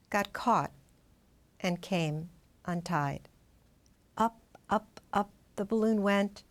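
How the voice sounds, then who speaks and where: Woman, children's storybook narrator, very animated intonation, medium pitch, dramatic, expressive. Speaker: storybook reader.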